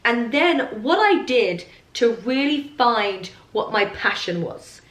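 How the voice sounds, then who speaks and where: A woman with a hoarse, husky voice from losing it, hosting a podcast in an upbeat, chatty way and coughing now and then. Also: fairly high pitch, sing-song, slow, a bit bright. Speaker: podcast host. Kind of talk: casual chatty podcast monologue. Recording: roomy echo.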